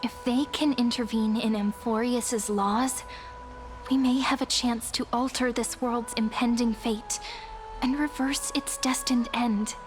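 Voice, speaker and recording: Actress as slow, high-voiced courtly attendant. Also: female voice, steady tone, natural voice. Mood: poised, courteous. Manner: measured, courtly, formal. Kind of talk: dramatic video game voice acting. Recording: music behind the voice.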